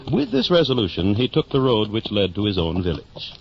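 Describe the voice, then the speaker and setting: Old radio narrator, theatrical and formal, lively pitch, music behind, muffled recording. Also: male voice, vocal fry, full character voice. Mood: storytelling. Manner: theatrical, formal, measured storytelling. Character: storytelling narrator of a mock-heroic tale. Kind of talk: scripted narration, 1950 old-time radio.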